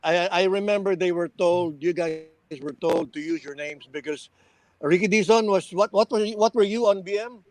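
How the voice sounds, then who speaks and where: An older man with a Filipino accent and a high-set voice recalls old colleagues. He talks casually, moving between languages as he goes. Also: normal speed, a little mellow, rough recording. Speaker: radio veteran on reunion panel. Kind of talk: casual reminiscing chat, mixing languages.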